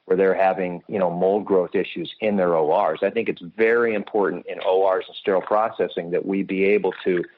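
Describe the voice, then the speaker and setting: A man explaining technical matters as a guest expert in a podcast interview, in a measured, careful way. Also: low voice. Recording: muffled phone-like line.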